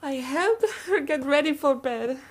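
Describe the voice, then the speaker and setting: A woman's voice: high-pitched, a little hiss or hum. An actress playing a fragile, distressed young Italian lady's maid in a radio drama, pleading with an Italian accent. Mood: subdued. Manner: slow, pleading, protesting.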